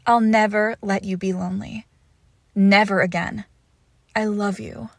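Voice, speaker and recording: A woman playing a lovesick, possessive young witch in an intimate roleplay audio, cooing haltingly and tenderly with a creepy edge, often dropping into whispers. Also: medium pitch, fairly even intonation, gravelly, no echo, clean studio sound. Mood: adoring, obsessive. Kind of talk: intimate roleplay audio acting, close to the listener.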